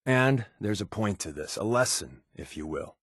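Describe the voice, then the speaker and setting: An actor with an American accent playing a wry, easygoing undercover resistance spy in a video game, talking in a conversational, sardonic way. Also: male voice, on the low side, animated, moderate pace, breathy edge, no echo, clean studio sound. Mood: firm. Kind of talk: video game character voice lines.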